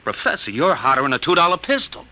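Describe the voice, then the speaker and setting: An actor playing an eager, plain-spoken American sidekick in a 1950s radio drama, talking in punchy, incredulous slang. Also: male voice, mid-range voice, sing-song, fast talker, sharp tone, slight background noise, low-quality recording. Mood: curious. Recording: old radio recording with music.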